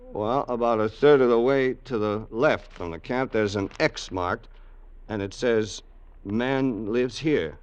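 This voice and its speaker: An actor playing a loyal, wary gangster's sidekick in an old radio crime drama, colloquial and hardboiled. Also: male voice, mid-range voice, takes it slowly, clear, resonant tone, muffled recording, close to an everyday voice. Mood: hesitant, wary. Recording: noisy old radio recording.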